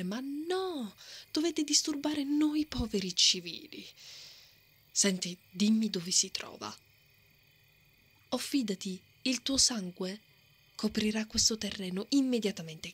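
A woman playing a brave, hot-tempered, bossy female knight in an ASMR roleplay, often breathy and muttering threats to herself. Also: medium pitch, a bit bright. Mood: menacing. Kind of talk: ASMR roleplay, acted monologue. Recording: noticeable outdoor ambience effects behind.

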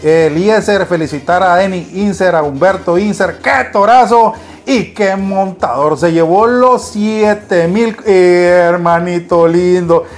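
Excited Nicaraguan bull riding announcer. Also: male voice, sits high. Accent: Nicaraguan Spanish. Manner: rapid-fire calls rising to shouts. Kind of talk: excited live sports commentary.

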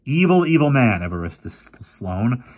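A man's voice: medium pitch, sing-song, moderate pace, darkish tone, voice pushed up. A man doing an eager teenage boy. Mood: spooked.

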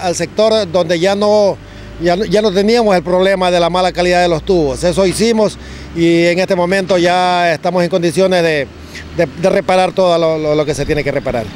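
A middle-aged Ecuadorian man with a fairly high voice talks at a street work site, stopping and restarting with many fillers. Reporters hold mics close to him. Faint traffic and street noise hang behind. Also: steady tone, normal speed. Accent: Ecuadorian Spanish. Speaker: public works director. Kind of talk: on-site news interview. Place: outdoors at a street excavation site. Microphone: handheld reporter mics held close.

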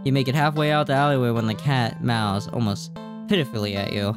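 A man's voice: mid-range voice, brisk. Guy in his 20s doing a lets play, reads the story out and chats, trips on words a bit, music playing behind him. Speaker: video game streamer. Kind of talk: let's play, reading game text aloud with casual reactions. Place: small room indoors at a gaming setup. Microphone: close desk microphone, headset worn. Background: game music.

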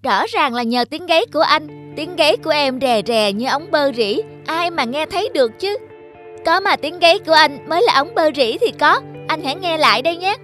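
A boastful young farmyard rooster voiced by a woman bickers in a high, theatrical cartoon voice. The delivery is quarrelsome and proud, made for children, with light music underneath. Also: animated, brisk, fairly bright tone. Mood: bickering, boastful.

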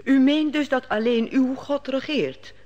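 A woman's voice: sits high, unhurried, warm tone, long reverb tail, highs cut off. An actress playing a skeptical queen who questions a prisoner in an old radio play. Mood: probing. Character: inquisitive Babylonian queen, probing, skeptical. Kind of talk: 1950s Dutch radio drama acting.

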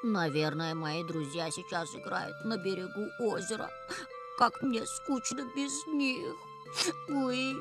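A woman voicing a kind, innocent young hedgehog in an animated cartoon. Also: medium pitch, takes it slowly, natural voice. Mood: wistful. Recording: music behind the voice.

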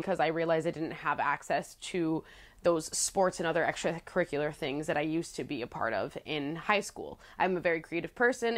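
A young woman in her twenties with a low voice, telling her story candidly to the camera in a casual vlog, chatty and playful with frequent laughs. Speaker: vlogger. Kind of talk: casual talking-head storytelling. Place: small living room with plants, indoors.

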